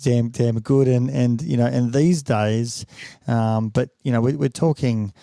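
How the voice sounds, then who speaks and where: A man's voice: mid-range voice, steady tone, a little slow, creaky, warm tone, dry recording, studio quality. A middle-aged man hosting a podcast interview, reflecting aloud with many restarts and repeated words. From Australia. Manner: frequent repetitions and restarts, musing. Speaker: podcast host.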